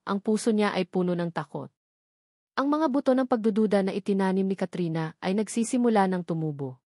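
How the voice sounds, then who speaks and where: A woman's voice: medium pitch, darkish tone, no echo, studio quality. A woman narrating a scripted romantic drama story in a dramatic storytelling style.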